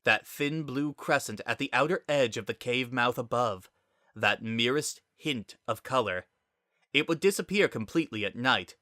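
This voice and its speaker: A man's voice: medium pitch, expressive, normal speed, pushed and bright, no echo, clean studio sound. A man reading a scripted story aloud as a dramatic narrator.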